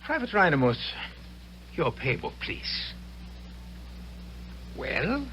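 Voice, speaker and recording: An actor playing a stern, suspicious and zealous young Hessian lieutenant in a radio drama, clipped and formal. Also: male voice, fairly high pitch, huge pitch swings, slow, background noise, full character voice. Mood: accusing. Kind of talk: old-time radio drama acting.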